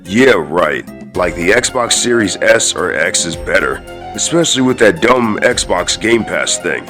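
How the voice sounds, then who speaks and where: Man voicing a very deep cartoon gorilla. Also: lively intonation, measured pace, pushed and bright, faint music behind, exaggerated voice. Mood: defensive. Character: big hot-headed shameless gorilla, buddy talk-show host. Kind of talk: scripted comic cartoon dialogue.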